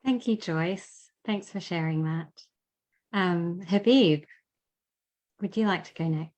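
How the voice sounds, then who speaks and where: A woman's voice: fairly low pitch, slow, darkish tone, lo-fi sound. A woman moderating an online panel, politely inviting speakers. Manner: polite, brief. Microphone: video call.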